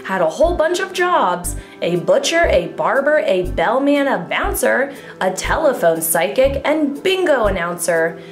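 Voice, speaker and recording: A woman voicing an excitable, boastful young schoolboy in a storybook, theatrical and eager, declaiming in rhyme. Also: fairly high pitch, a little slow, hardly any pauses. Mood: earnest. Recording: echoey room with music underneath.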